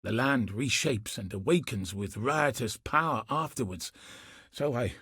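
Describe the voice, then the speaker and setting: A grim, battle-seasoned military commander from a video game. His voice is husky and sits at an ordinary male pitch, recorded very dry. He speaks with solemn, earnest resolve, like someone bound by duty. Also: expressive, a little slow, fairly bright tone, dry recording, full character voice. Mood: concerned, grave. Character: grim, dutiful male soldier commander hunting cosmic threats. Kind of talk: scripted video game character dialogue.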